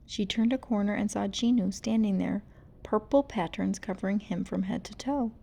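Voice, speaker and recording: A woman reading a storybook aloud in an expressive, suspenseful, dramatic narrating style. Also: medium pitch, normal speed, creaky. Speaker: storybook reader.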